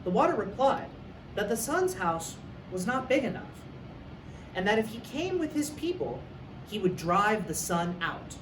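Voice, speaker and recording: A man's voice: sits high, sing-song, unhurried. A man who works as a teacher, reading a story aloud for young students. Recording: echoey room, distant mic, faint noise.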